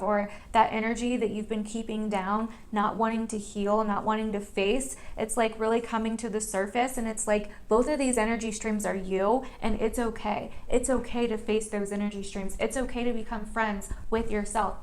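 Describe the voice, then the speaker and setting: A woman hosting an online tarot reading, talking to viewers in a casual, chatty, emphatic way and repeating phrases for stress. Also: on the high side.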